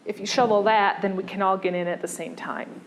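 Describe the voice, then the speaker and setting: A woman giving a conversational design lecture, pausing often with fillers and restarting her sentences. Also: mid-range voice, steady tone, a little hiss or hum.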